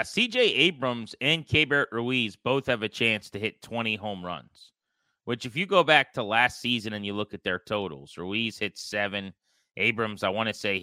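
A man hosting a sports podcast, talking baseball in an animated, conversational style with a co-host. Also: on the low side, dry recording.

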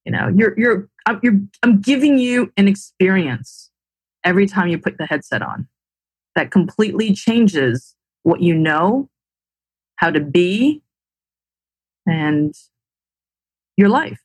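A woman's voice: mid-range voice, lively intonation, slow pace, long pauses, darkish tone, breathy edge, no echo, low-quality recording. A woman with a New Jersey accent, talking enthusiastically as an interview guest and often ending points with "right?". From United States. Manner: enthusiastic, persuasive, frequent "right?" tags. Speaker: guest, tech founder. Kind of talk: interview conversation.

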